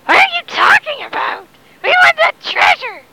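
A woman voicing a greedy, indignant pirate crewman in a story, loud and demanding. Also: very high voice, sing-song, moderate pace, creaky, pitched above the performer's own voice, heavily acted, rough recording. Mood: outraged.

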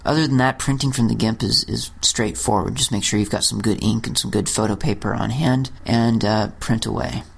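A man closing a guest software tutorial podcast, explaining in a relaxed, instructive way. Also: lowish voice, a little fast, vocal fry, few pauses, husky.

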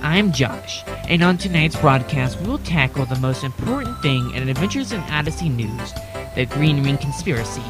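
A man's voice: medium pitch, big pitch swings, normal speed, music in the background, lightly acted. A man playing a formal, upbeat evening news anchor in a mock broadcast.

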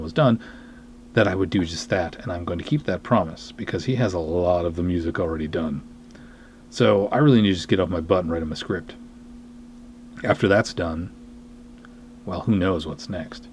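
Low-voiced man rambling on a podcast. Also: darkish tone, a little hiss or hum. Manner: rambling, self-deprecating. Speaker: podcast host, film writer and director. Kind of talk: casual podcast monologue.

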